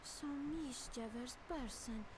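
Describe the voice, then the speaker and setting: A woman voicing a hesitant, mysterious female witness in a game, with dramatic pauses. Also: high-pitched, noisy background, pitched above the performer's own voice. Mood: uneasy, eerie.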